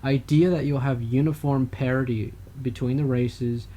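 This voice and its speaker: A man's voice: medium pitch, fairly even intonation, normal speed, mellow, a little hiss or hum. A man co-hosting a casual political podcast, talking in a rambling way with frequent hesitations and restarts. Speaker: podcast co-host.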